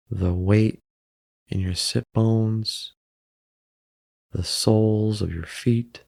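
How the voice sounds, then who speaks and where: A man narrating a guided meditation in a calm, unhurried way, pausing between short phrases. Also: fairly low pitch, vocal fry, dry recording, clean studio sound.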